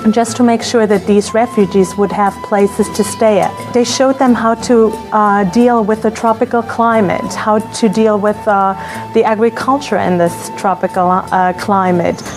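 A woman's voice: mid-range voice, steady tone, moderate pace. A woman speaking as a curator in an interview, explaining in an informative way. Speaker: museum curator being interviewed. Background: music.

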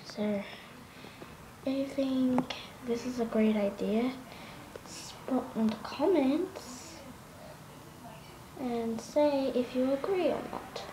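A woman's voice: sits high, drawn-out words, long pauses. A woman talking in a casual vlog, rambling with many fillers and restarts and often dropping into a whisper. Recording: noisy home phone recording.

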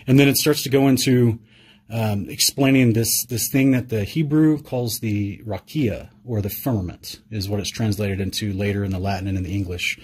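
A male interviewer with a rather low, husky voice. He talks casually and thinks out loud, circling his questions with fillers and restarts. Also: steady tone, moderate pace. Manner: searching, thinks aloud with many fillers.

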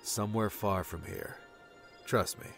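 A man's voice: low-pitched, measured pace, creaky, soft background music. A man playing a brave, brusque time traveler in an audiobook, speaking casually and tersely. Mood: brusque, reassuring.

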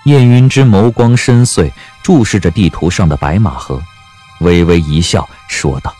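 A man's voice: deep voice, normal speed, dark tone, husky, faint music behind. A man narrating a period audio drama as a storyteller, reading scripted prose dramatically.

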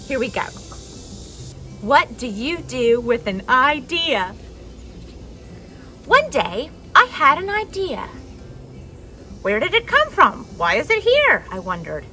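A young adult woman reading a children's picture book aloud expressively, with dramatic pauses and emphasis. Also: a little high, bright, forceful tone, slight background noise. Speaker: storybook reader for a children's church message. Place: outdoors on a wooden deck among trees.

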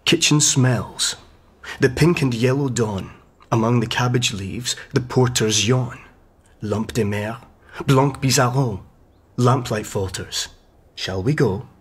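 An actor playing an insinuating, cultured young gentleman tutor in a radio drama, measured and scheming. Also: medium pitch, breathy edge. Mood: cultured, ingratiating.

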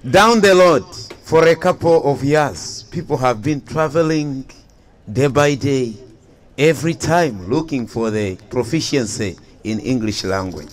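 A man's voice: medium pitch, lively intonation, unhurried. A young man giving an emphatic, showy persuasive debate speech into a handheld mic at an outdoor evening event. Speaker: debate participant promoting his college.

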